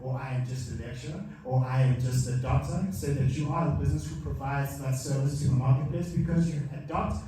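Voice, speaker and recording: A man's voice: mid-range voice, fairly even intonation, a little fast, hardly any pauses, warm tone. Emphatic male speaker in echoey hall. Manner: call-and-response, emphatic repetition. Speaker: motivational speaker. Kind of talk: live masterclass. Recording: echoey hall, recorded from far off.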